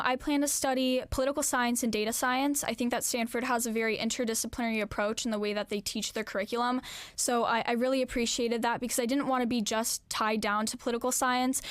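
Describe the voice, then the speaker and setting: A woman's voice: on the high side, clear, resonant tone, no room sound. A teenage woman answering questions in a local news interview, earnest and reflective, with frequent "um" fillers. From Alaska, US. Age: late teens. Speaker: interviewee, graduating student.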